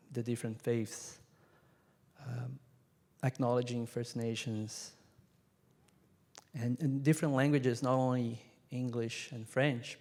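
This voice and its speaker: Man from Brazil, earnest panel speaker; gravelly, even-toned, hall reverb. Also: mid-range voice. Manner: earnest, measured, lists ideas point by point. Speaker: conference witness speaker. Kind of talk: reflective closing remarks on stage. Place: large conference hall stage. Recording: hall reverb from a large room.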